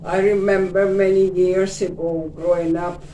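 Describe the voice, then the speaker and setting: An older woman in her seventies from Cyprus, Greek is her first language and she speaks English with a Greek Cypriot accent, telling her life story gently and unhurriedly, often mentioning her faith. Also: fairly low pitch, level tone, measured pace, slight background noise. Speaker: interview guest. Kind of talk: casual life-story interview. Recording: echoey room, distant mic.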